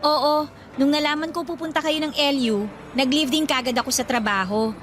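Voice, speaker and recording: A woman's voice: high-pitched, steady tone, moderate pace, a bit bright, a little hiss or hum. An actress playing a pushy, eager young woman, chatty and playfully insistent, in a Filipino radio drama. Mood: excited, insistent.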